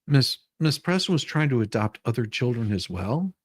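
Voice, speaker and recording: This is a host doing a dramatic reading of legal questioning. The pitch swings widely and the delivery slips easily into giggles and casual banter. The sound is dry and close, with little room to it. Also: sing-song, moderate pace, slightly rough, no room sound. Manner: giggly, easily cracks up between read lines.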